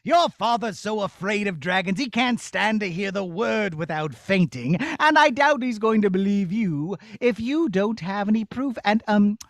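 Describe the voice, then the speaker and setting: A woman puts on a scheming wizard villain in a children's puppet show, dropping low from her usual voice. He gloats, mocks and relishes being the bad guy, in a dry close sound. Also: animated, moderate pace, few pauses, dry recording, natural voice. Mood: gloating. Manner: gloating, mocking laughs. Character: sly scheming male wizard who loves being bad.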